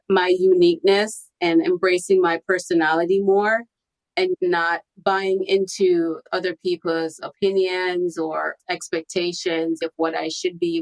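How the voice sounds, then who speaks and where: A woman with a slightly low voice speaks as an interview guest. She tells personal stories about herself in a candid, reflective manner. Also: low-quality recording. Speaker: podcast guest, copywriting strategist.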